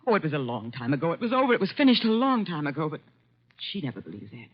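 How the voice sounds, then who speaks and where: An actress playing an embittered, emotional secretary in a vintage radio drama, with an intense, melodramatic delivery. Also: female voice, low voice, big pitch swings, fast talker, slightly rough, exaggerated voice. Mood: anxious, distressed. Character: emotional, embittered woman secretary with wounded pride. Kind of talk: 1940s-50s radio drama acting. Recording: dull vintage radio recording.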